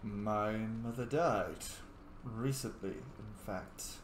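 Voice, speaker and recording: A man's voice: fairly low pitch, drawn-out words. A man voicing a polite, slightly flirty, inquisitive male adventurer in a fantasy game roleplay. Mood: soft, reflective. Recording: echoey room with faint noise.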